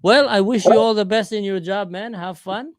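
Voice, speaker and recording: Chatty guy in his 40s hosting a stream, kinda high voice, no room echo at all. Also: normal speed, clean studio sound. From Israel. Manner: chatty, upbeat, asks lots of questions. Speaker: livestream host chatting with strangers. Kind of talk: casual video chat. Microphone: desk camera mic.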